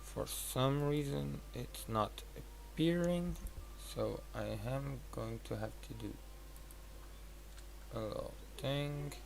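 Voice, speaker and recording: Slow, creaky-voiced man on a noisy stream. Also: mid-range voice. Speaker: livestreamer. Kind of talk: casual livestream talk to viewers.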